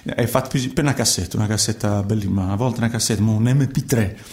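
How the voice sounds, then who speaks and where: An actor playing a naive young man who drives migrants and dreams of singing, with a southern Italian accent, in an excitable, repetitive, colloquial radio theatre style. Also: fairly low pitch, racing, echoey room, natural voice. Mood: wistful, pleading.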